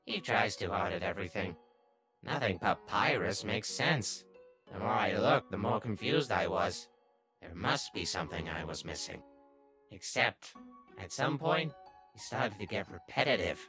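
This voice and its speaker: Someone doing a sly little cartoon flower, nosy n brooding, sharp swoopy voice, gets exasperated, music under it. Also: sits low, lively intonation, a little slow, exaggerated voice. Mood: puzzled, scheming. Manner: brooding, scheming, bursting into exasperated outbursts. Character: small talking flower, sly, obsessively curious, easily exasperated. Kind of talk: comic dub character narration and dialogue.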